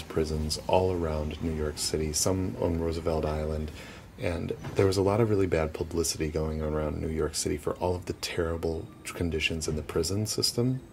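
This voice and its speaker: A man's voice: sits low, dark tone, a little hiss or hum. A young man guiding a walking tour outdoors on city streets, chatty and casual, often laughing between points. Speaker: tour guide.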